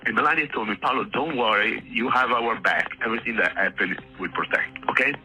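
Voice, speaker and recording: Muffled clip of a guy, very piercing bright voice, blunt and heated, music behind him. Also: medium pitch, a little fast. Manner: blunt, swearing, emphatic. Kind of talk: heated, informal talk.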